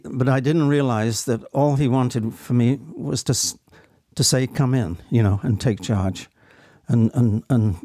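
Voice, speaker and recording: An elderly man giving personal testimony as an interview guest, speaking in a halting, reflective and earnest way. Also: mid-range voice, warm tone, no echo, studio quality. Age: 70s or older. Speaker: interview guest, stage actor.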